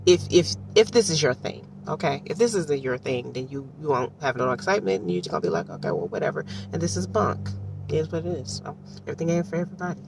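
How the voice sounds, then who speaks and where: A woman's voice: low voice, big pitch swings, fast. A woman from Detroit with an American accent gives casual, rambling commentary in a slangy, conversational way full of asides. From Detroit, United States. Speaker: audiobook reviewer. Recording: distant mic with faint background noise.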